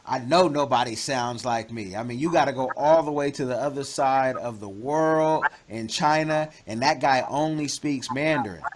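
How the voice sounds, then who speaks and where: A man hosting an interview, chatty and advising, with jokes and loud laughs. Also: mid-range voice, moderate pace, hardly any pauses. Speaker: interview host. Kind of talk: casual interview chat.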